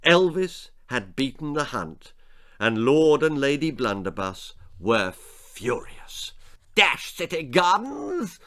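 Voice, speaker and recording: Male English author-narrator for comic children's stories, bright, animated, dramatic. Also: mid-range voice, takes it slowly, smooth. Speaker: author reading his own story.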